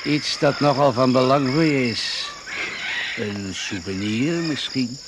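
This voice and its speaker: An actor playing a shrewd, suspicious male doctor in a radio drama, questioning in a dry, deliberate, accusing manner. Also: mid-range voice, animated. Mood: suspicious, probing. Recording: noisy, hissy old radio recording.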